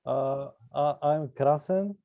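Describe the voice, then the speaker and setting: A man's voice: fairly high pitch, slow pace. A man from Bulgaria with a Bulgarian accent, speaking hesitantly and politely as a student on an online video call. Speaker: astrology student, session attendee. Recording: dull, lo-fi video call audio.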